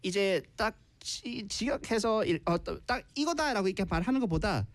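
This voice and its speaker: A man co-hosting a live stream, speaking Korean and switching into slow, clear English to explain things to viewers. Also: sits high, expressive, no room sound. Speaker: co-host teaching English.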